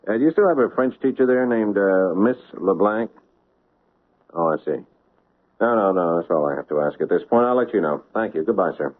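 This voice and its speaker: A hard-bitten radio-drama lieutenant with a deep, husky voice, short-tempered and dry with his sarcasm. The sound is thin and tinny, like an old broadcast. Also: lively intonation, brisk. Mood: brusque. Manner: brusque, sarcastic. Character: blunt impatient police lieutenant, man. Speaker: police lieutenant. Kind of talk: 1970s radio drama acting.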